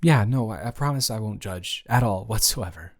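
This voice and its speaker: A man's voice: fairly low pitch, lively intonation, moderate pace. A man playing a caring, awkward young roommate in an improvised intimate audio roleplay, speaking casually with rambling, stammering, reassuring hesitation. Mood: gentle reassurance.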